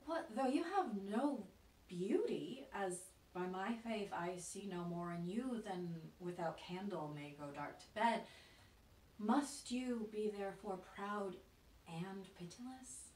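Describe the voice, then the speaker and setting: An actress playing a haughty, witty young Shakespearean heroine, delivering verse with mocking, deliberate stage emphasis. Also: female voice, mid-range voice, animated, darkish tone. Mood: indignant, scornful. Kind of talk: classical stage monologue in verse. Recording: roomy echo, distant mic, faint noise.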